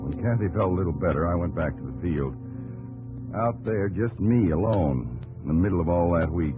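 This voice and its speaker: A low-voiced American frontier farmer in a vintage radio drama with music behind him. He is earnest and weary, plainspoken and folksy, and his pitch swings widely with feeling. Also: expressive, normal speed, narrow, tinny audio. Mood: somber, reflective. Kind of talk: 1950s radio drama acting and narration. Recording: old 1950s radio recording with music behind.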